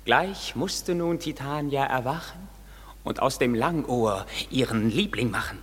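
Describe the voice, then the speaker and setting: A man's voice: medium pitch, a little slow. An actor playing a mischievous sprite who recounts his prank with glee, in a classic German radio play. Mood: gleeful. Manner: gleeful, animated storytelling verse. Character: Puck, mischievous male sprite. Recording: husky voice in a long reverb.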